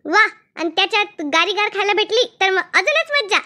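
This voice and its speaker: A woman voicing a chatty, good-humoured village gossip in an animated comedy, with a rural Maharashtrian accent and exclaiming, colloquial talk. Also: extremely high pitch, normal speed, heavily acted. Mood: cheerful. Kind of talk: animated cartoon comedy dialogue.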